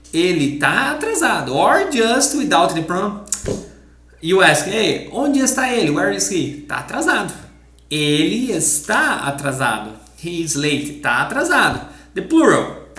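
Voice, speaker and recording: A Brazilian-accented man teaches with bright energy, his pitch moving up and down as he walks through examples. He slows down to repeat phrases and laughs often. His voice rings in an echoey room. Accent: Brazilian Portuguese. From southern Brazil. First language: Portuguese. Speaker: language teacher.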